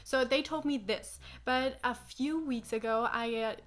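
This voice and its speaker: A woman's voice: fairly high pitch, a little slow, fairly bright tone. A young woman whose first language is German, chatting casually and answering questions in her living room, full of fillers and acted-out quotes. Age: young adult. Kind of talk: casual chat. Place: small living room with sofa, indoors.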